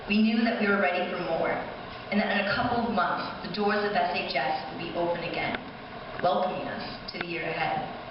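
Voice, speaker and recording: A young woman, a high school class officer, reading a scripted speech aloud at a school ceremony. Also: steady tone, fairly quick. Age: young adult. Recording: distant echoey hall, muffled, noisy.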